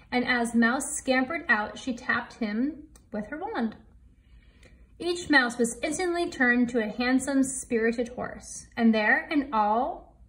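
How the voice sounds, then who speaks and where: A woman with an American accent, a teacher reading a storybook aloud to young children and explaining it expressively as she goes. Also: a little high. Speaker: elementary school teacher.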